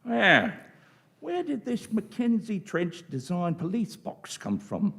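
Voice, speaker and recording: A man's voice: sits high, very animated, takes it slowly, creaky, fairly bright tone, pitched above the performer's own voice, exaggerated voice. A man playing an opinionated, jokey English policeman on patrol in a live radio play, speaking colloquially. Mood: chatty.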